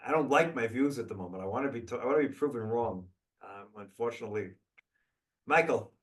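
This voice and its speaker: A man's voice: mid-range voice, lively intonation, fast, distant mic. A man hosting a video call briefing, answering questions bluntly and thinking aloud with restarts.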